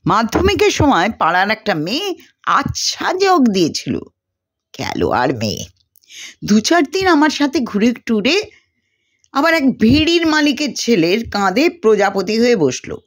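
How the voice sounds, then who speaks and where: A woman's voice: fairly high pitch, huge pitch swings, unhurried, husky, full character voice. Woman playing an offhand, flippant young guy. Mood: jokey, dismissive. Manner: colloquial, offhand. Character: flippant, carefree young male student, slangy and dismissive.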